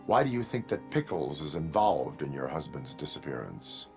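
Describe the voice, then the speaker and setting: An actor playing a stern cyborg police officer in a video game, speaking in formal, stiff, by-the-book phrases. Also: male voice, very deep voice, sing-song, moderate pace, music in the background, exaggerated voice.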